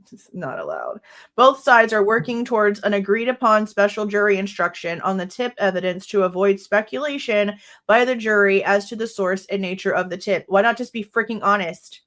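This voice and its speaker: A woman's voice: medium pitch, lively intonation, moderate pace, a bit bright. A young woman with a stuffed-up nose, hosting a true crime livestream and reading a court brief aloud. She breaks off into blunt, exasperated remarks with mild swearing.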